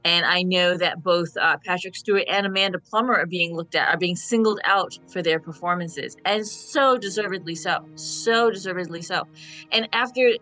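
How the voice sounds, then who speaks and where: A woman's voice: fairly low pitch, normal speed, sharp tone, soft background music, low-quality recording. A woman in her fifties chatting casually as a fan on a discussion panel, speaking emphatically and repeating phrases for stress. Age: 50s. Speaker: panel guest.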